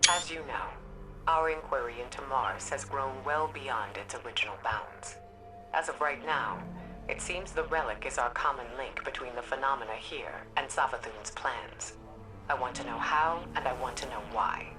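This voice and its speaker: A woman playing a commanding, secretive spymaster in a video game, grave, deliberate and formal. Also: rumbling low, distant mic, low-quality recording. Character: commanding, secretive female spymaster briefing her agents.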